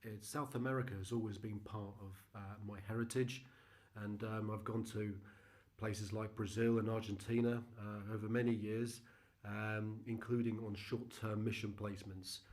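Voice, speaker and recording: A middle-aged British man giving an earnest, measured church presentation about his mission work, pausing often with ums and uhs. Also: on the low side, flat delivery, darkish tone. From United Kingdom. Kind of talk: recorded slide presentation.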